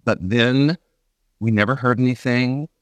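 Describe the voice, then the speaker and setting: A man's voice: mid-range voice, a little slow, fairly bright tone, no room sound. A man hosting a conversational interview, explaining things and repeating words as he goes. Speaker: interview show host, stage performer.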